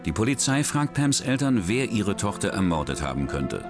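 A man narrating a true crime documentary in a measured, grave scripted voice-over. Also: lowish voice, very animated, music in the background.

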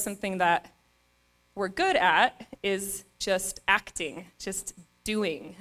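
A woman's voice: mid-range voice, a bit bright. A young woman on a stage mic, giving an earnest acceptance speech with many ums and restarts. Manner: earnest, with frequent fillers and restarts. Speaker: award recipient giving a speech. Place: stage in a dark event venue. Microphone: stand mic.

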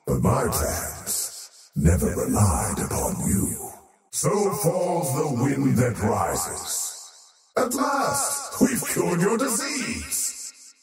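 An actor voicing an arrogant, menacing faceless void creature in a video game, with pompous, ominous and theatrical delivery. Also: male voice, medium pitch, distant mic, full character voice. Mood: scornful, then contemptuous. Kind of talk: theatrical game character voice lines. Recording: roomy reverb over background music.

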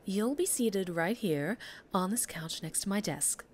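A woman's voice: mid-range voice, animated, measured pace, noisy background, natural voice. A woman playing a polished, encouraging female talk show host in a scripted ASMR roleplay, articulate and reassuring with a showy presenter's delivery. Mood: reassuring, upbeat.

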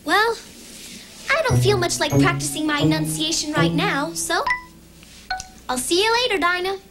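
An actress playing a cheerful young storybook heroine, chatty and lightly playful in a children's TV show. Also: female voice, very high voice, moderate pace. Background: background music.

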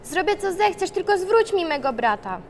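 A kind, brave young heroine played by a teenage girl, high in pitch and earnest. She declaims her lines in an amateur sci-fi drama, with noise around her. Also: very animated, normal speed, full character voice. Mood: pleading. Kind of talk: amateur school film acting.

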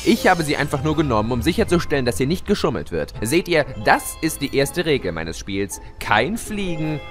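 A man's voice: fairly high pitch, sing-song, normal speed. A man playing a gloating, mischievous chaos spirit who tells of his schemes in a theatrical, teasing way in an audio drama. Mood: gleeful, teasing. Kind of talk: German fan audio drama, storytelling. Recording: music behind the voice.

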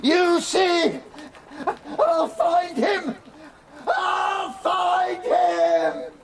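High-pitched, flustered old gentleman. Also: steady tone, very slow, over a noisy crowd, exaggerated voice. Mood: distraught, stubborn. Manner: stammering, fretful, dithering. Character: frail, flustered, obsessive old gentleman collector. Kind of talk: radio drama acting.

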